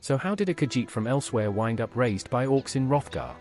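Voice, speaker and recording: A man's voice: mid-range voice, very animated, a little fast, darkish tone, faint music behind. A man's synthetic voice playing an inquisitive adventurer in a fantasy video game, asking things plainly. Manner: plain, questioning. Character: inquisitive male adventurer questioning people.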